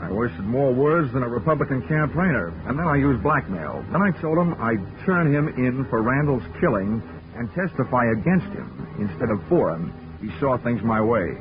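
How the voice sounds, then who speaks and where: A thin, tinny 1940s radio recording with music carries a male actor as a hard-boiled detective. He is wry and sardonic, with a medium-pitched voice, delivering his lines like a wisecracking gumshoe. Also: lively intonation, moderate pace, natural voice. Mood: dry narration. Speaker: narrating lead of a detective radio serial.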